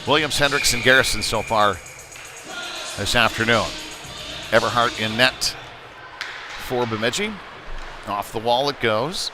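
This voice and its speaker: A man's voice: mid-range voice, measured pace, pauses often, music in the background. A man giving live play-by-play commentary of a youth ice hockey game. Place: indoor ice rink. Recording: noisy rink ambience, bouncing knocks.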